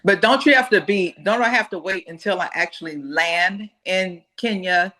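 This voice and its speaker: A woman on a video call asking eager, quick follow-up questions about her trip. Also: on the low side, pushed and bright. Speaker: caller planning a trip. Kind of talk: casual chat on a call.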